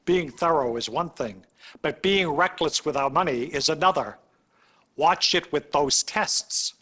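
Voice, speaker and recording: A man's voice: a little high, measured pace, pushed and bright. A man playing an irate, penny-pinching hospital administrator in a video game cutscene, scolding and sarcastic. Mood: scolding, exasperated.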